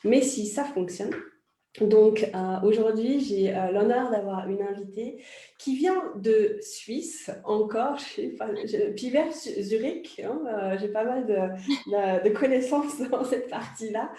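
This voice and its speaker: A woman's voice: fairly high pitch, slow, dark tone, echoey room. A woman hosting a live online interview, chatty and laughing easily, with many fillers. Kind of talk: casual live chat.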